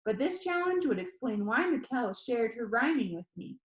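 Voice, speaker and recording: A woman reading a children's novel aloud as expressive first-person audiobook narration. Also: a little high, normal speed, roomy sound, low-quality recording. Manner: expressive read-aloud storytelling.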